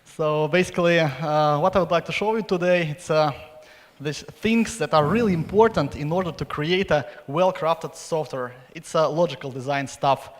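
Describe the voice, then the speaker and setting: A young man giving a halting, informal pitch for his conference talk into a handheld microphone. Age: young adult. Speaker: conference speaker. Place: stage in a large conference hall. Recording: reverberant hall, live PA sound.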